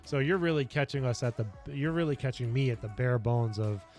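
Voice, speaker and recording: Man, casual livestream chat, rambling, earnest, slightly raspy, mid-pitched, faint background music. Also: fairly even intonation, fairly quick, darkish tone. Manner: rambling, filler-heavy, earnest. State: voice getting raspy. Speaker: streamer and lifestyle coach. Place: basement home office.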